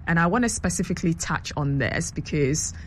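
A woman's voice: lowish voice, expressive, fairly quick, a little hiss or hum. A woman who grew up in London, a guest on a radio show, explaining her app in a chatty way with many fillers. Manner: chatty, explanatory, many ums and you-knows. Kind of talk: radio interview answers.

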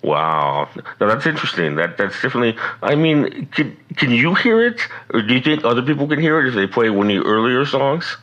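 A man's voice: mid-range voice, big pitch swings, a little fast, hardly any pauses, fairly bright tone, dull audio. A man hosting an interview, asking questions in an easygoing way and chiming in with short affirmations. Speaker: radio interview host.

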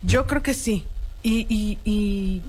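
A woman, a guest explaining her views in an earnest, steady way on a talk show. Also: mid-range voice, takes it slowly, slightly rough. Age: 30s. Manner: earnest, explanatory, frequent tag questions. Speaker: guest expert. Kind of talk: radio talk show discussion.

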